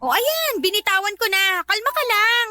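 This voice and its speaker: A woman's voice: very high voice, animated, normal speed, sharp tone, full character voice. A woman playing a brash, vain, whiny young live-in girlfriend in a Tagalog radio drama, with sassy, theatrical, slangy delivery. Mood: defensive, bickering.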